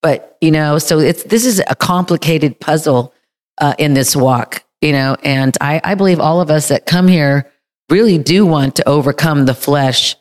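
A woman with a deep voice sharing candidly in a church group, emotional and halting, with many fillers. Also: darkish tone. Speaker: group member.

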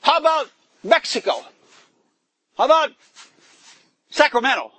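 A man's voice: very high-pitched, expressive, measured pace, fairly bright tone, no echo. A man preaching a sermon to a congregation, rising into emphatic bursts and putting questions to his listeners. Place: church gathering in the woods.

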